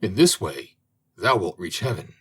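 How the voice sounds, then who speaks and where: A man reading an old fairy tale aloud as an audiobook narrator, in a slow, expressive manner. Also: sits low, slightly rough. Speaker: audiobook reader. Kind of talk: storybook narration.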